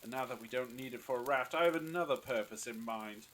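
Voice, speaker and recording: A man's voice: medium pitch, fast, a bit bright, slight background noise, natural voice. A man playing a menacing, accusing leader in an audio drama, commanding and sneering as he interrogates. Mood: threatening. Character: menacing, accusing male leader bullying the Doctor.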